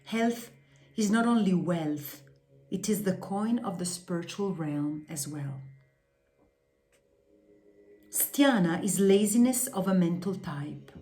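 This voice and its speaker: A woman reading an instructional spiritual text aloud in a measured, deliberate way, carefully enunciating its terms. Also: fairly low pitch, animated, dark tone. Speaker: reader of a yoga philosophy text.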